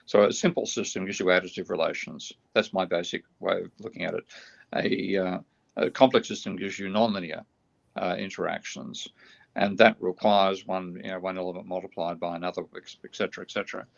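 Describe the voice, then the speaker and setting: A tired man whose voice is getting croaky, explaining ideas in a live online discussion, thinking aloud with halting restarts. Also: low voice, steady tone, dull audio. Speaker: guest economist. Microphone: video call.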